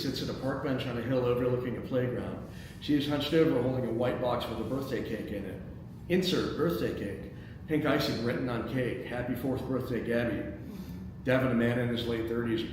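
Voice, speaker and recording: A man with an average-pitched voice moderates a script reading in an informal, slightly halting way. He sounds distant from the microphone, in a room with heavy echo. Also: noisy background. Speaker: moderator of a screenplay table read. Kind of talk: informal group discussion and reading aloud stage directions. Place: echoey room with a group.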